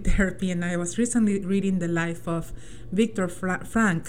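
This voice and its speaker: A woman's voice: on the low side, dark tone, slight background noise. A middle-aged woman from El Salvador hosting a community radio show, sharing a personal story in an earnest, reflective way. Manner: earnest, reflective, with many restarts and "right?" tags. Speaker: radio host.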